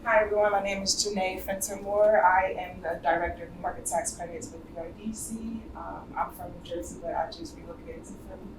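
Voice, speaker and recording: A woman's voice: fairly low pitch, steady tone, brisk, hardly any pauses. A woman introducing herself and her work at a group event. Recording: very noisy echoey room, distant mic.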